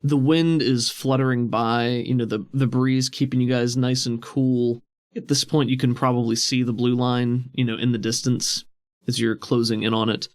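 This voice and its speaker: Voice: mid-range voice, fairly even intonation, normal speed. A game master narrating a tabletop role-playing game in a casual way and bantering with the players.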